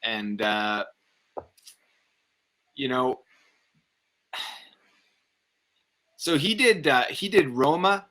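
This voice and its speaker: A man co-hosting a casual film review, giving his opinions in a halting, conversational way. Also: mid-range voice, very animated, pushed and bright.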